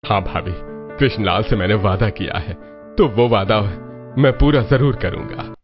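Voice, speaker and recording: Man as a low-voiced, dutiful older father. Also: normal speed, highs cut off, over music, lightly acted. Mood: earnest. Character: dutiful older father, firm about his promise. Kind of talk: dramatized family story voice acting.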